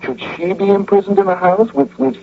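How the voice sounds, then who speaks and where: A man acting in a radio play as a hesitant, anxious architect, halting and flustered in his delivery. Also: high voice, creaky. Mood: defensive. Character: hesitant, anxious architect friend drawn into crime. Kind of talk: 1980 radio drama acting. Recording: muffled, noisy old radio broadcast.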